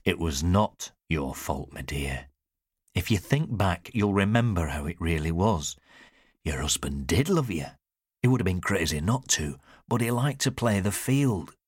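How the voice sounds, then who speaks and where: A man's voice: sits low, normal speed, breathy edge, dry recording, studio quality. A man voicing an older man in an audiobook, stern but kindly and reflective. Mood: stern, consoling. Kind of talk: audiobook character dialogue.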